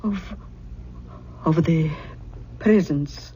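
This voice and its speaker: An actress playing a caring, practical older Scottish aunt in a radio drama, speaking in a deliberate, folksy way. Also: female voice, low-pitched, mellow. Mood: concerned, gentle. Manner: deliberate, folksy, hesitant then firm. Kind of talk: 1970s radio drama acting. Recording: dull, noisy old radio recording.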